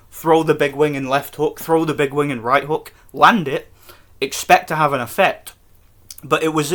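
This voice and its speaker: A man from Scotland giving a casual, excited post-fight review for his vlog, rambling with restarts. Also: mid-range voice. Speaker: boxing vlogger.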